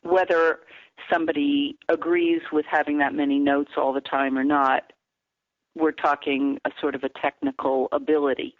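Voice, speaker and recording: A woman vocal coach explaining singing technique in a measured, teacherly way on a radio call-in. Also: deep voice, little pitch movement, dry recording. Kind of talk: phone-in radio interview. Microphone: telephone. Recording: tinny phone line, dry.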